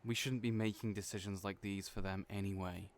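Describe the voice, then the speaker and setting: A man with a somewhat low voice speaks gently and hesitantly in an audio roleplay, often stammering and trailing off. His delivery stays quiet and reserved. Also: steady tone, fairly quick, a little hiss or hum. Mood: gentle. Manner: soft-spoken, hesitant, stammering.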